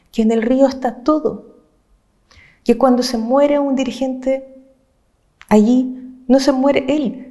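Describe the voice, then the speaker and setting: A woman from Chile, an activist speaking earnestly and with passion, laying out her points in measured, listed phrases. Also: a little high, dark tone. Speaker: social movement activist. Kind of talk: reflective interview talk.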